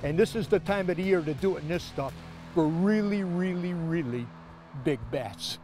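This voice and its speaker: A man's voice: high voice, moderate pace, mellow, faint music behind. A middle-aged American man from Minnesota hosting a fishing show with casual commentary out on a boat. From Minnesota, United States. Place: on an open fishing boat on a lake.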